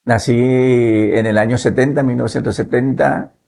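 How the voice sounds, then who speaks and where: A man in his fifties from Nicaragua, a pastor and missionary, telling his life story in a measured way and often adding "¿verdad?". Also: medium pitch, fairly even intonation, creaky, a little mellow. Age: 50s. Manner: measured, adds "¿verdad?" tags. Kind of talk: relaxed testimony in conversation.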